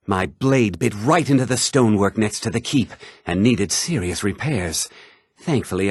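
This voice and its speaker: A haughty townsman from a fantasy video game, condescending and pompous. The voice is husky and a little low, swinging widely in pitch as he delivers his dismissive lines. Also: no echo, clean studio sound. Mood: self-important.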